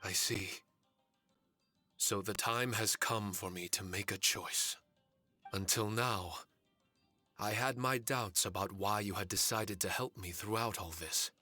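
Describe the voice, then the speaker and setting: A man playing a solemn, courteous male spirit servant in an anime game, speaking formally and earnestly in a measured way. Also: on the low side, sharp tone. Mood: solemn, resolute. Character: solemn, courteous male shikigami spirit warrior with fragmented memories. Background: faint music.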